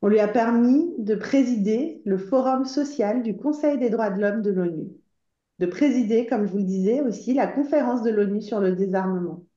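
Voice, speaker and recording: A woman's voice: on the high side, moderate pace, mellow. Impassioned woman speaking in an echoey hall. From Iran. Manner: earnest and urgent, calls the audience to action, frequent "euh" hesitations. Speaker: association spokeswoman speaking at a conference. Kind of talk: impassioned conference speech. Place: conference room. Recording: roomy, slightly dull conference recording.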